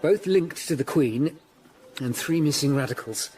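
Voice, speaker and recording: An actor playing a grave, compassionate, determined Tudor lawyer-detective in a radio drama. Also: male voice, a little high, unhurried, a little mellow, soft background music, lightly acted, low-quality recording. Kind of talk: BBC radio drama acting.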